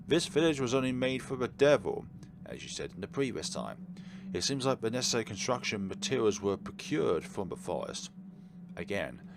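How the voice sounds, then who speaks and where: A man narrating a visual novel playthrough aloud in a dramatic, expressive way, mixing read lines with his own asides. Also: mid-range voice, normal speed, noisy background. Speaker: let's player reading a visual novel aloud.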